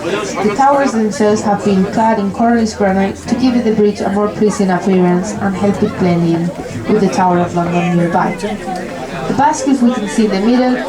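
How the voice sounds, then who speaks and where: A woman's voice: mid-range voice, low-quality recording. A young woman from Spain with a Spanish accent, giving live commentary as a tour guide on a river cruise boat, friendly and lightly joking.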